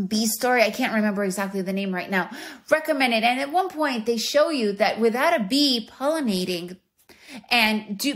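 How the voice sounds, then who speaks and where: A woman hosting a travel podcast, talking casually and enthusiastically and asking her listeners rhetorical questions. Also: a little high. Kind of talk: casual monologue.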